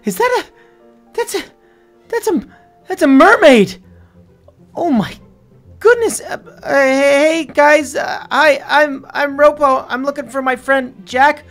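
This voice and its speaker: Excitable, anxious young hero sidekick for adventure role-play, acted, high voice with gravelly fry, stammering delivery. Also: measured pace, few pauses, faint music behind. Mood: amazed, uneasy. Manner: stammering, exclamatory, talks to viewers as guys.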